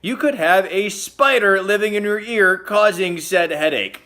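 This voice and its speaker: A man hosting a casual comedic news roundup, chatty and joking, with sudden loud exclamations. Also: high-pitched, unhurried. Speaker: host.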